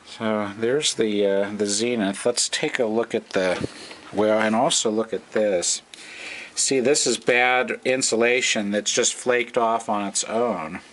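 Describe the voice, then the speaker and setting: A man's voice: fairly low pitch, a bit bright. A middle-aged man giving a casual, off-the-cuff hobbyist walkthrough, hesitant and thinking aloud, recorded on a camera's own microphone. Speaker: hobbyist vintage radio restorer. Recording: noisy amateur camcorder recording.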